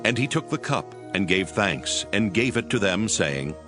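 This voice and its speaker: A man narrating scripture from a script in a measured, formal storytelling manner. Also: fairly low pitch, big pitch swings. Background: prominent music.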